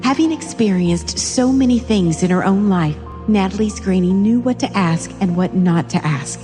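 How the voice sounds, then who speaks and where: A woman's voice: mid-range voice, expressive, moderate pace, dark tone, long reverb tail. A woman narrating a scripted drama in a storytelling style. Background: music.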